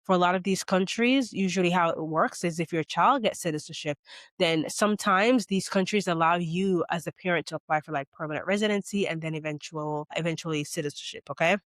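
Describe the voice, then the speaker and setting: A woman's voice: on the low side, a little fast, a bit bright, no room sound. A woman from Jamaica giving a casual, chatty explainer as a vlogger, often checking in with "okay?" and "you know". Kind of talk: casual talking-head explainer.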